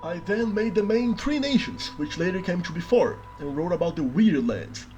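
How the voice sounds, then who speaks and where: A man from Brazil whose first language is Portuguese narrates a scripted voice-over. His voice sits a little high, and his delivery is dry and self-mocking with bursts of dramatic emphasis. Soft music plays faintly beneath him. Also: lively intonation, normal speed. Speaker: worldbuilding video creator.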